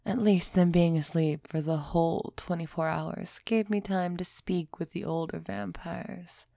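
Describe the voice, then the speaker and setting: An aloof female vampire of noble bearing, acted by a woman for an audio roleplay. Her voice sits fairly low and she takes her time over every phrase. The sound is very dry and intimate, with a haughty, musing manner that hints at a softening heart. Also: fairly even intonation, a little slow, gravelly, dry recording. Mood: pensive. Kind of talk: scripted audio roleplay acting, close and soft.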